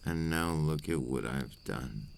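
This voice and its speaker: A man playing a brooding, remorseful male vampire in an intimate ASMR roleplay, speaking in a deep, gravelly voice with slow, formal phrasing. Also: a little hiss or hum, full character voice. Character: remorseful adult male vampire, brooding and tormented by his curse.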